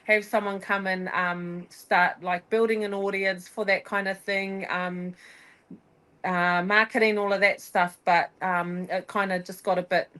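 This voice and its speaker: A woman's voice: medium pitch, unhurried. A woman chats as a guest on a podcast. She talks in bursts, full of energy, breaking off and restarting her sentences as her thoughts run ahead. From New Zealand. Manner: energetic, rambling, self-interrupting. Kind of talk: casual conversation.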